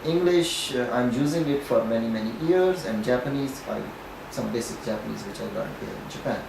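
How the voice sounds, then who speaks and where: A man's voice: mid-range voice, brisk, gravelly. Pakistani-accented man, native Punjabi speaker, echoey distant recording, didactic interview answers. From Pakistan, Punjab region. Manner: didactic, often checking with "okay". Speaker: professor being interviewed. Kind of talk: explanatory interview talk. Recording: echoey, distant mic, faint noise.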